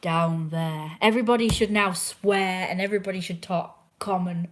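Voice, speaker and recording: A young woman with an English accent, hoarse from a sore throat, chatting casually and opinionatedly to a viewer from a chair at home, often dropping into hushed asides. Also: lowish voice, steady tone, moderate pace. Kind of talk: casual rambling chat. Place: indoors at home, curled in a chair.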